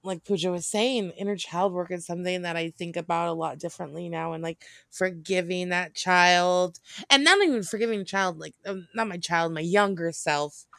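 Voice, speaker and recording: American woman, low voice, candid and rambling. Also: normal speed, creaky, fairly bright tone, no echo, clean studio sound. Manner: informal, swearing, rambling with self-interruptions. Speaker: podcast co-host. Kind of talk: casual, candid conversation.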